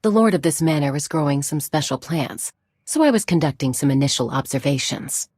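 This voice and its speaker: A scholarly, self-possessed woman in a game cutscene. Her voice is very low and husky, recorded dry, and she words everything with calm precision and reserve. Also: fairly quick, no echo. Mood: composed, reserved. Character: composed widowed woman scholar, reserved and learned. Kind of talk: video game cutscene dialogue.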